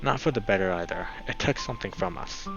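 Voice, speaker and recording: Man voicing a low, guilt-ridden young wolf. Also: sing-song, fast, vocal fry. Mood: earnest, pained. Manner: hesitant, earnest, brooding. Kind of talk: visual novel character reading. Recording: slightly dull mic, music behind.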